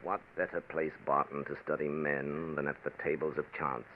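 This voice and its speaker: An actor playing a cold, calculating gambler in a vintage radio drama, theatrical and smoothly manipulative. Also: male voice, a bass voice, level tone, moderate pace, narrow, tinny audio. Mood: brooding, confiding. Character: cold, calculating gambler narrating his own sinister climb. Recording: noisy, band-limited vintage radio recording.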